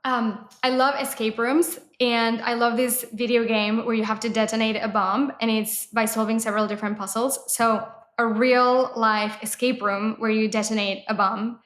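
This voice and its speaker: A woman's voice: fairly high pitch, level tone, moderate pace, hardly any pauses, fairly bright tone. A woman from Mexico, a native Spanish speaker, talking casually as an interview guest and startup founder. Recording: roomy, echoey sound.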